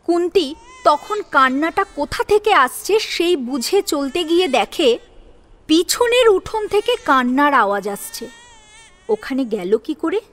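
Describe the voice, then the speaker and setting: A woman narrating a dramatic story in an expressive storytelling manner. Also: high-pitched, a little slow.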